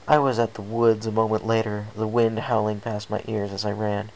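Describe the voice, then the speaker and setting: A man with a low, creaky voice reading a scripted first-person adventure story as narrator. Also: level tone, moderate pace, a little mellow, low-quality recording. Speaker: amateur story reader. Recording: faint background hiss.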